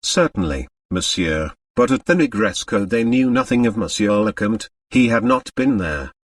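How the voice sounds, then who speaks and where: A man's voice: on the low side, very animated, moderate pace, no room sound, studio quality, far from the mic. A man voicing an anxious, formal French manservant in an audiobook, very deferential to his master. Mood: respectful. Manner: formal, obsequious.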